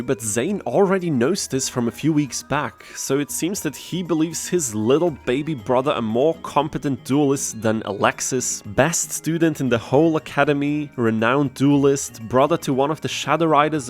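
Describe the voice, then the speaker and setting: A man with a medium-pitched voice narrates gameplay from a script. He is wry and sarcastic, in the manner of a gaming YouTuber. Faint music plays behind him. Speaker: video game YouTuber.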